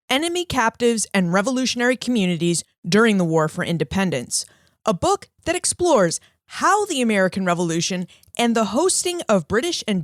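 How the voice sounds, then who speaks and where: Lively, upbeat woman podcast host. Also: medium pitch, no room sound, studio quality. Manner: upbeat, warm and welcoming. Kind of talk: podcast interview hosting, scripted intro.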